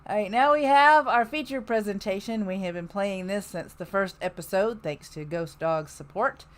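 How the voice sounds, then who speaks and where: A woman's voice: mid-range voice, moderate pace. A woman hosting a podcast in a casual, chatty way, with sarcastic heckling and some mild swearing. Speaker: podcast host. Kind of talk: casual live chat commentary on old radio shows.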